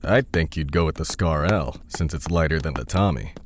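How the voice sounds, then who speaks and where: A man playing a gruff, bossy, battle-scarred survivalist in an audio drama, blunt, teasing and swearing. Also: a bass voice, lively intonation, normal speed, noisy background. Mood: cocky, teasing.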